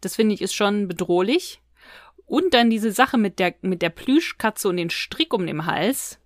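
A woman's voice: medium pitch, expressive, unhurried, no room sound, studio quality. A woman co-hosting a podcast, giving her opinions in a casual, conversational review chat. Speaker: podcast co-host. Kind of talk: casual review chat about audio dramas.

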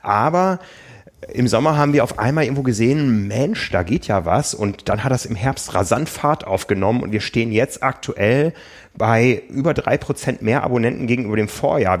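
A man hosting a podcast, talking casually and thoughtfully, often pausing with fillers. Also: mid-range voice. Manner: thoughtful, hesitant with many fillers. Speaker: podcast host and magazine editor.

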